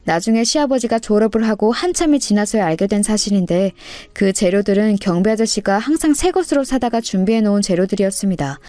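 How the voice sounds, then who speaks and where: A woman's voice: mid-range voice, dark tone, no echo. A woman narrating a scripted listener story in a radio-like style, as the storyteller of the channel.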